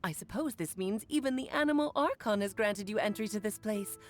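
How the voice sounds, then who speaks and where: A woman's voice: medium pitch, expressive, fairly quick, natural voice. An actress playing a playful, eccentric mother witch, coy and grandly formal, in a video game cutscene.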